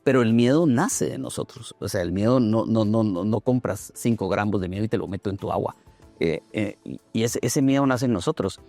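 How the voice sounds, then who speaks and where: Low-voiced man hosting a reflective interview. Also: animated, fairly quick, warm tone, dry recording, soft background music. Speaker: podcast host interviewing a guest.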